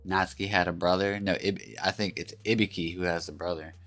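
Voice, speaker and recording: A laid-back man with a very low, congested voice hosts a live stream and greets chat with joking ease. A cold leaves his voice stuffy and a little raspy, with faint music playing behind him. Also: fairly even intonation, fairly quick, a bit bright. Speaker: stream co-host.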